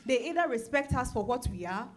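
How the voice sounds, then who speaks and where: A woman playing a weary, wounded but defiant career wife, deliberate and emotive, in a dramatized novel reading. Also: on the high side, lively intonation, soft background music, natural voice. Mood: weary, firm.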